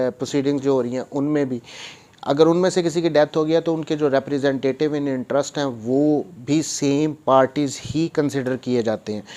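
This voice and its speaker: Male lecturer, voice a little on the high side, methodical explainer, repeats for emphasis, code-switches. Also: a little mellow. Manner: code-switches between Hindi and English; methodical, repeats key points. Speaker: law lecturer. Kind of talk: explanatory law lecture, reading provisions then explaining them.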